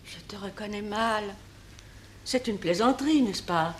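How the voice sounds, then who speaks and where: An actress playing a worldly, sorrowful older mistress of a Roman emperor, grave and theatrical, in a mid-century French radio play. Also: female voice, mid-range voice, unhurried, close to an everyday voice. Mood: reproachful. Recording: old radio recording, faint noise.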